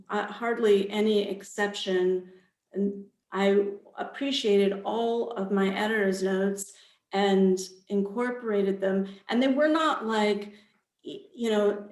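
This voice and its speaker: A woman giving a reflective answer as an author on a panel, halting and thoughtful, in a roomy, echoing recording. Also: mid-range voice, darkish tone. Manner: halting, thoughtful, pauses mid-phrase. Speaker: author on a panel, with theater background.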